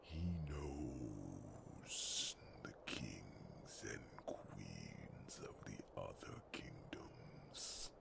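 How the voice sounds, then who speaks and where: A man voicing an ancient, solemn, brooding fantasy creature in an ASMR roleplay, whispering slowly and formally with pondering hums. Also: lively intonation, heavily acted. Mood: measured concern. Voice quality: very deep, gravelly, breathy whisper.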